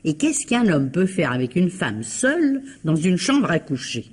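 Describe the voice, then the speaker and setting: A nosy older neighbour woman in a mystery radio play. Her husky voice swings up and down as she confides her gossip with theatrical, knowing insistence. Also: lowish voice, big pitch swings, measured pace, darkish tone, exaggerated voice. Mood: knowing, gossipy.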